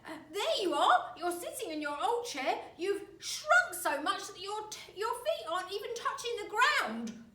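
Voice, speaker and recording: A woman's voice: very high voice, sing-song, a little slow, sharp tone, echoey room, far from the mic, pitched above the performer's own voice, exaggerated voice. A woman voicing a nasty, bullying husband who gloats and taunts emphatically in a storybook reading. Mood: taunting, shouting.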